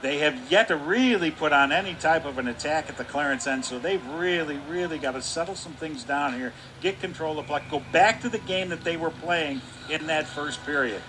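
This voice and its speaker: A man giving radio color commentary on a hockey game, explaining the play in a measured, conversational way. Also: on the high side, noisy background. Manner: measured, explanatory, with fillers like "kinda".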